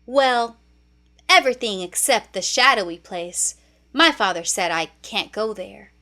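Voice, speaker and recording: A woman's voice: mid-range voice, lively intonation, measured pace, bright, forceful tone. A woman voicing an eager, curious, proud young male lion cub in a storybook.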